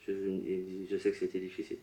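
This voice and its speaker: A man working as a medium at a public séance, relaying messages in short, broken, halting phrases. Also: deep voice, monotone, mellow. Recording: roomy echo.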